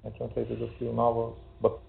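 A poet and translator from Romania, a Hungarian speaker, talking hesitantly with many fillers over a video call. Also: male voice, medium pitch, monotone, fairly quick, gravelly, a little hiss or hum. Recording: tinny call audio.

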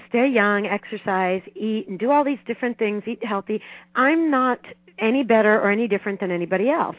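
A woman's voice: mid-range voice, no room sound. A middle-aged woman giving conversational advice as an expert guest in an interview. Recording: dry, tinny phone line.

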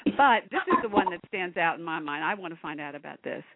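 A bubbly woman co-hosting a casual podcast interview, her pitch rising and falling animatedly. Her voice comes through a narrow, tinny line. The sound is dry and close. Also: animated, quick pace, no room sound. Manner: bubbly, laughs often. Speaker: co-host.

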